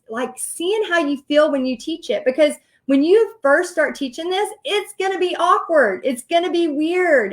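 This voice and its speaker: A middle-aged woman with a Texas accent giving an informal online coaching talk in a chatty, storytelling manner full of asides. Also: high-pitched. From Texas, United States. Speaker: host and paint party teacher.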